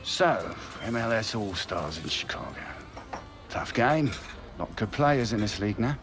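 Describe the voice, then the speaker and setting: A man's voice: lowish voice, expressive, a little slow, loud music behind the voice. A man playing an evasive middle-aged father and football scout in a naturalistic game cutscene, talking in a folksy, hesitant way. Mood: casual, coaxing.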